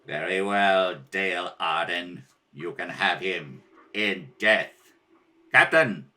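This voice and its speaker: A man's voice: deep voice, slow, pushed and bright, pitched below the performer's own voice, heavily acted. A man playing a cruel, imperious tyrant emperor in a radio serial, gloating and commanding. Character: Ming the Merciless, menacing, cruel male tyrant emperor.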